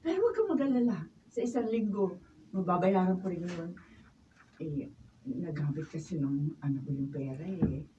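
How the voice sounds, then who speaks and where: A woman's voice: fairly low pitch, lively intonation, moderate pace, pauses often, warm tone, natural voice. A woman with a Filipino accent playing a meek, doting mother in a radio drama, pleading and stammering hesitantly. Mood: apologetic. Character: meek, doting, struggling middle-aged mother. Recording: dull, distant camera mic, faint noise.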